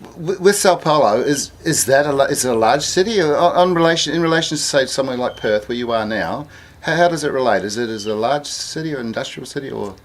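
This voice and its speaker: A man asks friendly questions at a mid pitch, often restarting and rephrasing as he goes. He sounds like a club interviewer at home with his guest. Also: expressive, fast. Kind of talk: casual interview.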